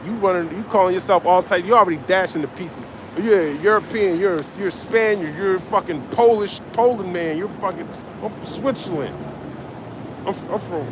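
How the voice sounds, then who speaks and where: A man's voice: high voice, noisy background. A man preaching on a live stream, reading scripture aloud and expounding it in a confrontational, profane way. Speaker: street preacher.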